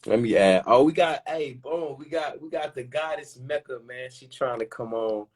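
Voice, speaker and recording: A man hosting a live stream, chatting casually with relaxed slang and speaking to his viewers. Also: mid-range voice, normal speed. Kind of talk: casual chat.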